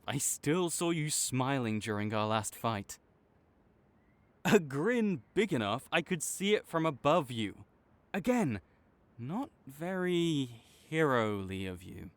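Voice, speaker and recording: A man playing an arrogant, teasing supervillain rival with a soft spot, speaking theatrically to the listener in an audio roleplay. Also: medium pitch, no room sound. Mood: smug, teasing. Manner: smug, teasing, theatrical with sighs. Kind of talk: dramatic roleplay monologue spoken to the listener.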